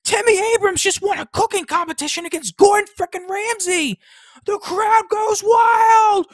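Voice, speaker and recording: A woman playing a sarcastic, foul-mouthed teenage boy in an audio drama, with a husky, deadpan delivery. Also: extremely high pitch, normal speed, no room sound, clean studio sound. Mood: wildly excited. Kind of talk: podcast audio drama acting.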